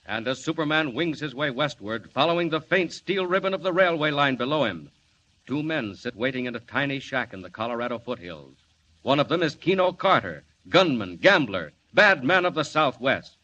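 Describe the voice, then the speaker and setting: A man's voice: mid-range voice, very animated, normal speed, pushed and bright. A man narrating a 1940s-style radio serial from a script, announcing with dramatic emphasis. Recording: dull, lo-fi old radio recording.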